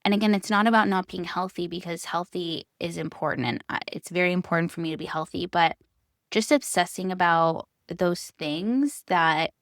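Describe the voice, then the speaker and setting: An American woman in her thirties hosts a personal podcast alone. Her voice sits on the low side and is recorded close and dry. She talks in a candid, conversational way, stressing her points with emphasis and repetition. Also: no echo. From United States (California), born in Hungary. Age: 30s. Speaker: podcast host.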